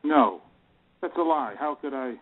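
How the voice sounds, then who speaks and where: A man's voice: medium pitch, a little slow, lightly acted. A man playing an anxious, threatened bank president and husband in an audio play, speaking uneasily and questioningly. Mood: alarmed. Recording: noisy, narrow phone-like sound with clicks.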